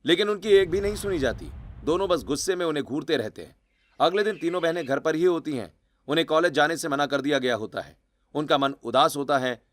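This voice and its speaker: A male storyteller with a fairly high-pitched voice. He delivers scripted, dramatic narration for a cartoon tale. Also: fairly bright tone, a little hiss or hum.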